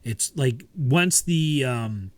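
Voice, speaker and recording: Male podcast co-host, mid-pitch, casual and rambling, dry close sound. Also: very slow, no echo. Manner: relaxed, rambling with many fillers and restarts. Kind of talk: casual chat.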